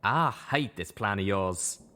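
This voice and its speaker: A man's voice: fairly low pitch, expressive, takes it slowly, bright, forceful tone, exaggerated voice. Man doing a folksy, drawling cowboy pony. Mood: blunt, unhappy. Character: male cowboy-like pegasus pony, plain-spoken loyal friend.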